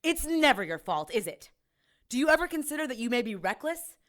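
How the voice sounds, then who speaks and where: A woman's voice: sits high, very animated, fairly quick, fairly bright tone. A woman playing a tough, exasperated ex-cop in an audio drama, with a blunt, sardonic manner. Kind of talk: naturalistic audio drama acting.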